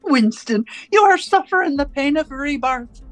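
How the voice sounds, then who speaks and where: A woman playing a cold, domineering interrogator in a radio drama, speaking in deliberate, commanding, rhetorical questions and pronouncements. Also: high voice, lively intonation, background noise. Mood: cold, measured menace. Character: cold, domineering party interrogator and torturer, righteous and controlling. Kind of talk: theatrical radio drama acting.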